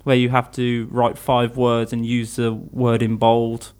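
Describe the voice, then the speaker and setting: A man's voice: mid-range voice, monotone, a little slow, darkish tone. A young man from England, an English teacher, chatting casually in an interview, laughing easily and restarting his sentences.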